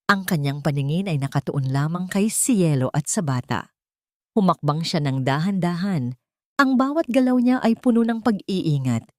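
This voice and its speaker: A woman narrates a scripted drama as a storyteller. Her voice sits a little low, and her delivery is measured and dramatic. The sound is dry, with very little room in it. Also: lively intonation, no room sound.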